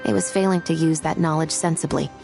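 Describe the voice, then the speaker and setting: A firm, low-voiced officer. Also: female voice, steady tone, creaky. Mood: stern. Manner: formal, firm and measured. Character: composed female police officer, adult. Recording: game dialogue over loud music.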